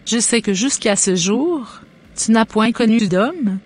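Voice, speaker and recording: A woman's voice: on the high side, lively intonation, slow pace, a little mellow, husky, full character voice. A woman playing a devout, tender middle-aged mother in a cartoon, formal and reassuring. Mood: concerned, reassuring. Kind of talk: scripted cartoon dialogue.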